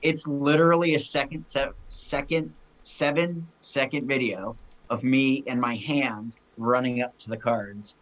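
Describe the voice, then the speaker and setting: A man co-hosting a casual talk show, chatting excitedly and swearing casually. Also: mid-range voice, a little slow, poor audio. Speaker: podcast co-host. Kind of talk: casual chat.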